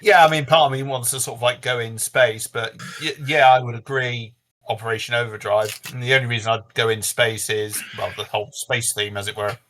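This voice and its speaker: A man's voice: medium pitch, steady tone, a little fast, fairly bright tone, a little hiss or hum. A man from Cornwall co-hosting a casual podcast, hesitant with many fillers and wry. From Cornwall, England. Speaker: co-host.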